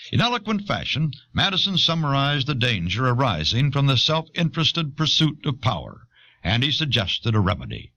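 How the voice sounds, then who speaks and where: Guy doing documentary narration, bright voice w lots of up and down, explains carefully, muffled old cassette sound. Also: medium pitch, no room sound. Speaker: audiobook narrator. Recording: dull old audio cassette.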